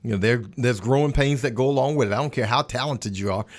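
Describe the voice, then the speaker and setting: A man with a medium-pitched voice talks sports casually as a panel analyst. He builds his points haltingly, doubling back on words as he goes. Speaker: basketball radio analyst and panelist. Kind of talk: casual sports roundtable talk.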